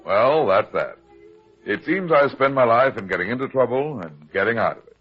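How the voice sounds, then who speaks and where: A man playing a heavyset, shrewd private detective in an old-time radio drama, brisk and commanding as he explains things. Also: medium pitch, lively intonation, faint music behind, natural voice. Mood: wry, reflective. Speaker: lead actor. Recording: dull, lo-fi old radio recording.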